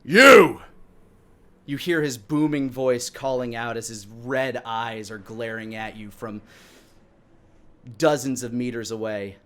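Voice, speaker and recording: A man's voice: mid-range voice, fairly even intonation, unhurried. Theatrical man narrating as dungeon master. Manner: theatrical, with acted sound effects. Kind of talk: dramatic tabletop game narration.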